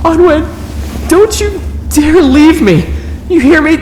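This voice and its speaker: A man's voice: very high-pitched, animated, slow, full character voice. Guy acting as desperate young hero in an audio drama, drawn out and pleading, lots of echo, bit of noise. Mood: anguished. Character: desperate, devoted young male fantasy hero. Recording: echoey reverberant space, faint noise.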